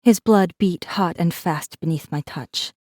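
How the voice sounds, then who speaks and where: Low-voiced, wry woman rebel messenger. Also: a little slow, darkish tone, dry recording, studio quality. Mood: conflicted, tender. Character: wry, guarded female rebel messenger and secret killer. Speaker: audiobook narrator in first person. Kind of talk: scripted dramatic narration.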